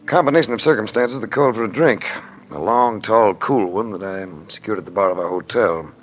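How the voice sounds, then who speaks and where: Through the clear noise of an old radio recording, an actor plays a male hard-boiled detective with a fairly low American voice. He is dry, wry and sardonic, narrating and wisecracking in vintage radio drama style. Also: fairly quick, close to an everyday voice. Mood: dry narration. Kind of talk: 1950s radio drama acting with first-person narration.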